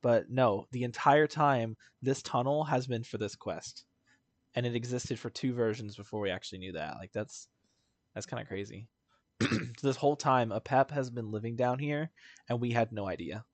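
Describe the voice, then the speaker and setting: A man's voice: medium pitch, moderate pace, no room sound. A man giving casual live gameplay commentary as a streamer, informal and rambling, often correcting himself mid-thought. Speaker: game streamer.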